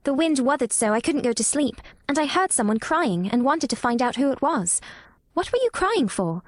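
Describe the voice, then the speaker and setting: A woman's voice: high voice, very animated, moderate pace, no room sound, clean studio sound, pitched above the performer's own voice. A woman voicing an inquisitive, forthright young girl with a blunt, questioning manner in a storybook reading. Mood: frank curiosity.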